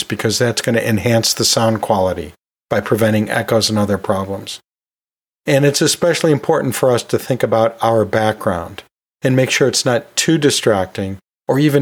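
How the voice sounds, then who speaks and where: A middle-aged American man hosting a podcast, giving teaching tips in an informal, folksy and chatty way with light self-deprecation. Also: mid-range voice. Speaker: podcast host and college instructor.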